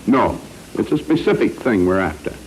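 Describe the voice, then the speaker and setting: A male American quiz host from old-time radio, with lively, swooping intonation. He is wry and teasing as he talks to the contestants. The recording is vintage and clearly noisy. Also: fairly low pitch, moderate pace.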